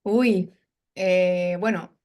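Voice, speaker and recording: A woman from Chile with a soft Chilean Spanish accent, chatting as a language teacher on a video call, often tagging her sentences with questions. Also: fairly low pitch, extremely slow. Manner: relaxed, chatty, many tag questions. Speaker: Spanish and English teacher, interview guest. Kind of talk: casual interview over video call.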